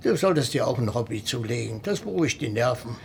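A man's voice: mid-range voice, expressive, quick pace, creaky, a little hiss or hum. An actor playing a teasing, grumbling older man, a gun collector, in a radio crime drama. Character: old widower's best friend, teasing gun collector, grumbling.

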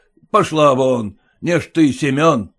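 A man's voice: medium pitch, a little slow, fairly bright tone. A man voicing a stingy, domineering merchant who speaks bluntly and scolds his servants. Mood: gruff bossy. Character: gruff stingy old merchant, bossy.

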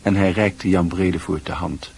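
A man's voice: low-pitched, steady tone, moderate pace, creaky, darkish tone, soft background music. A man reading scripted literary narration as the narrator of a radio drama.

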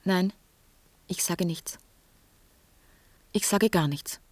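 A woman's voice: lowish voice, slow, no room sound. An actress playing a proud, melancholy young woman in love, with intense theatrical delivery in a radio drama. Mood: hesitant. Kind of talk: 1960s German radio drama acting.

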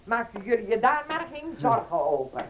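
An actress playing a vindictive, rich old noblewoman in a Dutch radio play, imperious, halting and emphatic. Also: a little high, very animated, noisy background. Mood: scornful, gloating.

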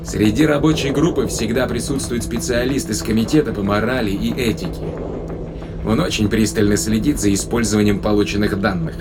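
A man playing a matter-of-fact human astronaut, explaining things in a measured way in a science fiction audio drama. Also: lowish voice, steady tone, lightly acted. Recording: loud music behind.

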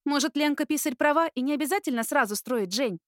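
Woman acting older sister, high voice, caring, motherly, cheeky, playful, audiobook character, dry sound. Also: fast, no echo, close to an everyday voice. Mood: coaxing. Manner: playful, animated.

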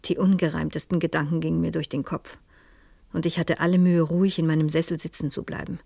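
A woman's voice: deep voice, little pitch movement, fast talker, creaky, mellow, husky, no echo, studio quality, heavily acted. An actress playing a determined, inquisitive woman sleuth in a radio crime drama, speaking formally and probingly. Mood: pensive, tense. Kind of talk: radio crime drama, dialogue and first-person narration.

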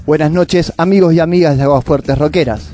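A man with a Rioplatense Argentine accent reads literary prose as a radio presenter. His voice is soft-edged and mellow, and his delivery is reflective and poetic. Light music plays underneath. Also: a little high, fairly quick. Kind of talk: literary reading and monologue for a radio show.

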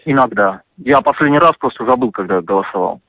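Gravelly animated man on a phone line. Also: mid-range voice, brisk, no room sound. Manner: animated, indignant, full of fillers and restarts. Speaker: interviewee on a phone call. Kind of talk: casual phone conversation. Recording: dry tinny phone line.

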